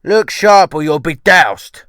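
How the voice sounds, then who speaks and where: A belligerent ship's cook in an audiobook drama. He shouts and jeers in a raised pitch that swings sharply, full of sarcasm. The sound is close and dry. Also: expressive, slow, clear, resonant tone, no room sound. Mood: urgent shouting. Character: bellicose, sneering Cockney ship's cook, a bullying man.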